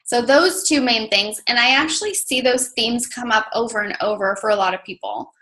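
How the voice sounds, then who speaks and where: Woman, from Mexico, native Spanish speaker, interview guest, casual, lots of fillers, higher voice, bright tone. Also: moderate pace, low-quality recording. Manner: chatty, many fillers like "like" and "you know". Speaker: interview guest, coach mentor.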